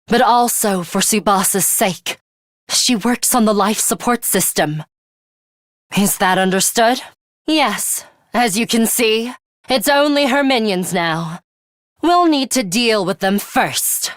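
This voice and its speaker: A woman voicing a cool, guarded young female fighter in a video game, with a measured, composed and imperious way of speaking. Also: a little high, a bit bright, no echo, studio quality. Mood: resolute. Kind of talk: English video game voice acting, dramatic anime-style dialogue.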